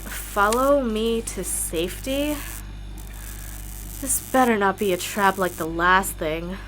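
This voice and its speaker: A woman's voice: medium pitch, slow pace, a bit bright, slight background noise, rough recording. A woman playing a wary, sceptical young female musician in an audio drama, with a dry, cautious manner. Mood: anxious.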